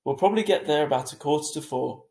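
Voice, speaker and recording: A man's voice: fairly high pitch, expressive, fast talker, dark tone, roomy sound, pitched above the performer's own voice. A man voicing a worried, protective mother of a teenager in a storybook reading, fussing and pressing. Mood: decisive.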